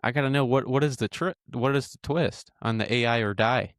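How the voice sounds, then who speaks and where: Brief: male host in his twenties, mid-pitched, halting and casual, dry close sound. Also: dry recording. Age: 20s. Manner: hesitant, with restarts and fillers. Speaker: podcast host. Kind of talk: casual podcast interview.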